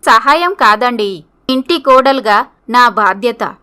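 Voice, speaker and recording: Lady doing story dialogue, bright high voice. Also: lively intonation, slow pace, clear, resonant tone. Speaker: story voice performer.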